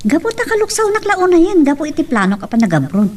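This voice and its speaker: A woman's voice: high-pitched, very animated, fast, darkish tone. A woman playing a determined, exasperated wife in an audio drama, recorded at a distance from the microphone. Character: determined, exasperated wife planning to work abroad. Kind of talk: Ilocano radio-style audio drama.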